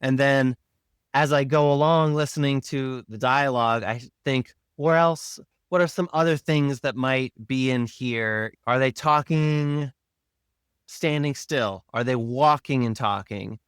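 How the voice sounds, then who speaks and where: Smooth-voiced man in a casual interview. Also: medium pitch, unhurried, a bit bright, no echo, clean studio sound. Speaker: sound designer and podcast guest. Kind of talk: casual behind-the-scenes interview talk.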